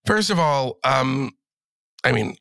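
A man with a cold and a raspy voice, chatting casually as a podcast guest and rambling through restarts and fillers. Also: medium pitch, lively intonation, slow, a bit bright, no room sound, studio quality. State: has a cold. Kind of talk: casual political chat.

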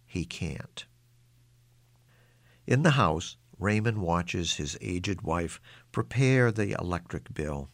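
A man's voice: low voice, vocal fry, a bit bright, no echo. A man reading his own literary short story aloud as a scripted narration.

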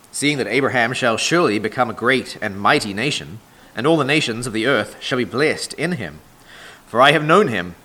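A man preaching a sermon with a scratchy, hoarse voice from a sore throat. He reads scripture and then teaches in a steady, measured cadence, at an ordinary middle pitch for a man. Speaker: pastor.

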